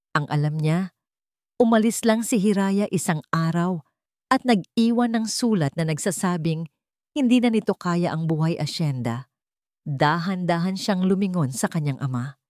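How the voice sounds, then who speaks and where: A woman's voice: fairly low pitch, a little mellow, dry recording, studio quality. A woman reading a scripted story as its narrator, in a dramatic storytelling style.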